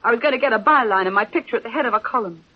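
A woman's voice: a little high, rapid-fire, lightly acted. An actress playing a fervent, earnest newspaperwoman pleading in a vintage radio courtroom drama. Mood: impassioned. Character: impassioned newspaperwoman pleading for the man she loves. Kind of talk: 1930s-40s radio drama acting. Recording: muffled old radio recording.

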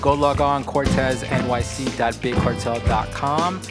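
A man's voice: mid-range voice, fairly even intonation, measured pace, over loud music. Casual rambling male podcast host. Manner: rambling, restarts sentences. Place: podcast studio.

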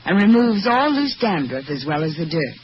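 A man's voice: on the high side, very animated, normal speed. A man reading a scripted 1940s radio advertisement as a commercial announcer. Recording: muffled, slightly noisy vintage radio recording.

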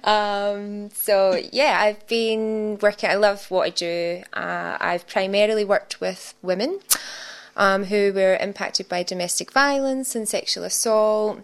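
A woman with a thick Scottish accent, speaking as a guest in a radio studio. She talks at an unhurried pace, laughing often and filling gaps with ums and yeahs. Her pitch sits in the middle of the usual range. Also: steady tone, clear, resonant tone. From Scotland. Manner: giggly, warm, chatty with many fillers. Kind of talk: casual interview chat.

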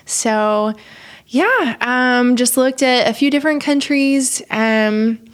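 A woman's voice: on the high side, takes it slowly. A woman in her twenties from Haiti, a missionary guest talking earnestly in a casual interview, rambling with many fillers.

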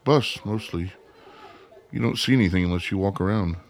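An awkward, gravelly drifter. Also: male voice, low-pitched, normal speed. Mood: guarded. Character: awkward, smitten, guarded man traveling alone. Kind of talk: radio play acting.

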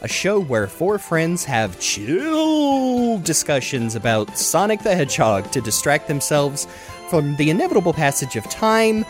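A man's voice: a little high, sing-song, a little slow, faint music behind. A man hosting a casual chat show, talking in an informal, jokey and rambling way. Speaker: podcast host.

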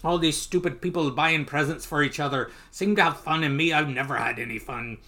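A man's voice: fairly high pitch, brisk, bright, forceful tone. A man voicing a grumpy, spiteful old rich uncle duck from a comic book, with an attempted Scottish accent and theatrical cackling grumbles. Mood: bitter, grumbling. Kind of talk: comic book character read aloud.

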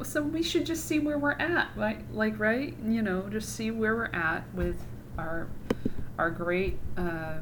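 A woman's voice: mid-range voice, background noise. A woman hosting her own podcast in casual chat, sarcastic and mocking, swearing freely as she talks. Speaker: podcast host.

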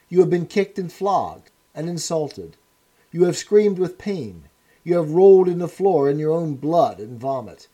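A man voicing a cold, menacing male interrogator who speaks in a measured, commanding way. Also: a little high, dark tone.

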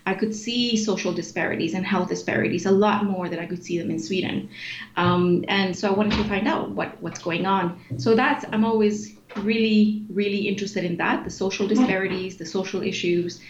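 A woman's voice: medium pitch, steady tone, moderate pace. Interview guest: woman in her forties, from Chile, thoughtful explainer, echoey dull-sounding room with faint hiss. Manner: thoughtful, explanatory, with frequent fillers and restarts. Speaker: guest, executive director of an obesity organization. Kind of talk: casual interview answers.

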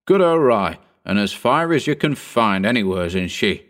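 A man's voice: on the low side, big pitch swings, normal speed, fairly bright tone. A man voicing a coarse, blustering male saloon keeper in an audiobook reading. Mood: jovial. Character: burly male saloon keeper, rough and bossy.

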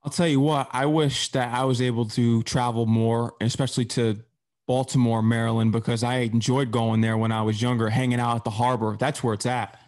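A man's voice: mid-range voice, flat delivery, fairly quick, a little mellow. A man interviewing a guest, asking plain questions that steer the talk.

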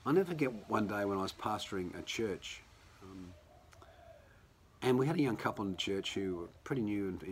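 A man preaches in a relaxed, conversational way, his very low voice a little croaky from a cold. He often restarts his sentences as he talks from his home. A faint hum sits behind him. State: hoarse. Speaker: pastor. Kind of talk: sermon.

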